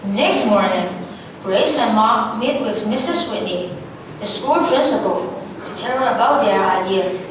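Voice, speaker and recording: A woman's voice: animated, a little slow. A young woman narrating a scripted story on stage as part of a readers' theatre performance. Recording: echoey hall, distant mic, faint rumble.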